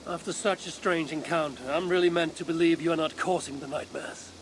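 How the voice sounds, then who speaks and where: A woman's voice: deep voice, fairly even intonation, brisk, close to an everyday voice. A woman playing a suspicious, battle-hardened Viking warrior in a video game, with a blunt, terse delivery. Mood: suspicious, challenging. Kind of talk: dramatic video game cutscene acting. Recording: faint ambient noise.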